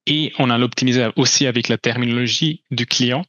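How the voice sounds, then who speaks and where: A man's voice: medium pitch, fairly even intonation, fairly quick, a bit bright, no room sound, highs cut off. Man presenting hesitantly. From Switzerland. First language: German. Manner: hesitant, many restarts and fillers. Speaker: company presenter. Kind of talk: conference talk with slides.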